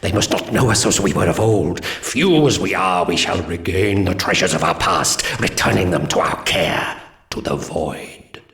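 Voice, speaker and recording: A man narrating solemn mission briefings in a game as a proud ancient alien commander, grave and measured. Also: low voice, animated, creaky, fairly bright tone, echoey room, heavily acted. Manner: grave, measured, archaic phrasing.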